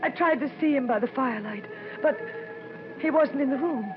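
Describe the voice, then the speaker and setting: A woman's voice: high-pitched, moderate pace, over music, close to an everyday voice. An actress playing an anxious, determined young woman, a murdered doctor's daughter, in dramatic, breathless old-time radio horror style. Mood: frightened narrating. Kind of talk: 1940s radio horror acting. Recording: noisy, muffled old radio recording.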